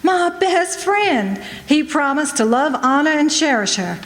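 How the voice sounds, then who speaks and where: A woman's voice: high voice, big pitch swings, measured pace. A woman playing a loyal, hard-edged friend of a victim, blunt and emphatic, in a radio drama. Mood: accusing, certain. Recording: echoey hall, faint stage ambience.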